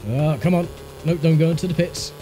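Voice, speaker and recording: A man's voice: fairly high pitch, fairly even intonation, fairly quick, warm tone, low-quality recording. A man with a congested, stuffy voice giving dry, wry commentary on a racing game he is playing. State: congested from a sinus infection. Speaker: gaming YouTuber. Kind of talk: casual gameplay commentary. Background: game music.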